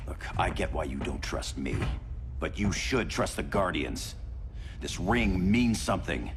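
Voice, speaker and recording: An actor playing an earnest, defiant former villain turned hero in a video game, with a sardonic streak. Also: male voice, deep voice, animated, unhurried. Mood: earnest, defensive. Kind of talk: video game cutscene acting. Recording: loud music under the voice.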